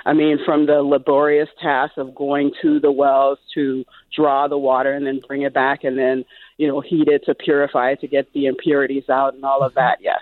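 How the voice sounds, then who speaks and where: A woman's voice: extremely low pitch, little pitch movement, moderate pace, no echo. A middle-aged woman from Liberia, calling in to a radio show and talking with enthusiasm and encouragement. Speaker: caller. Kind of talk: radio call-in. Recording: tinny phone line.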